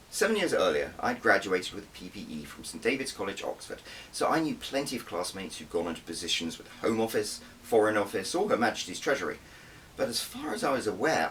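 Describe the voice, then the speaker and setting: A man narrates a first-person novel in a deep register. His delivery is scripted, dramatic and emphatic. The recording is slightly distant, with a light hiss underneath. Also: a bit bright. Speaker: author reading own audiobook.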